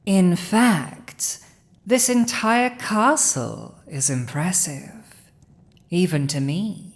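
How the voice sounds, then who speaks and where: A woman acts out a villainous dragon who has seized a throne. The voice is lowish for a woman and extremely unhurried, lingering over pauses. It is imperious, possessive and teasing, in the manner of an intimate fantasy roleplay audio. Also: animated, heavily acted. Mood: gloating, admiring. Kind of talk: dramatic roleplay audio addressed to the listener.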